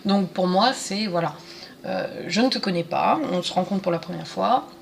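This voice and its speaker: A woman speaks in a somewhat low voice. She talks casually, hesitating and restarting as she thinks. A faint background hiss runs under the recording. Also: normal speed, creaky. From France, Paris suburbs. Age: 20s. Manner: hesitant, many fillers and restarts. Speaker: interview guest. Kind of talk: casual conversational interview.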